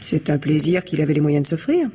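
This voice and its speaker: A woman's voice: low voice, very animated, a little fast, dark tone, slight background noise. A woman playing a cool, self-possessed secretary who parries questions curtly in a radio mystery drama. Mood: guarded. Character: cool, defiant, guarded female executive secretary.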